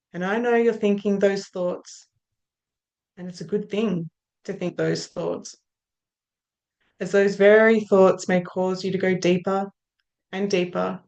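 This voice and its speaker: Slow, soothing Australian woman hypnotist. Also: lowish voice. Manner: slow, soothing, drawn-out phrases that trail off softly. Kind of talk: guided hypnosis induction.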